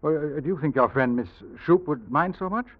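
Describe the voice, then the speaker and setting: Husky, suave English schemer played by a man. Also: on the high side, fairly quick, close to an everyday voice. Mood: courting, smooth. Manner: smooth, theatrical, insinuating. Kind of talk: old-time radio drama acting. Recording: dull, muffled old radio recording.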